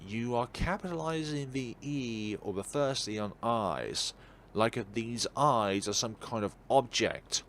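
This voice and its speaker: Male let's-play host narrating a visual novel, playful and animated, faint noise behind. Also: medium pitch, a little slow. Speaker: gaming video host. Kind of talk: reading a visual novel aloud with asides.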